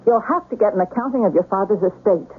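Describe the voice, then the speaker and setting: A woman playing a forceful, fiercely protective mother and wife in a radio drama, insistent and sharp-tongued. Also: mid-range voice, fast, slightly rough, close to an everyday voice. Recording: tinny, hissy old radio broadcast.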